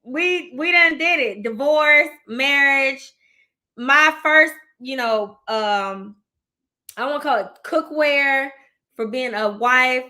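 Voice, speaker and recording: Woman, thirties, show host, bright forceful voice, excitable, chatty, slangy, laughs often. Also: sits high, slow. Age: 30s. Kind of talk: casual chat show hosting.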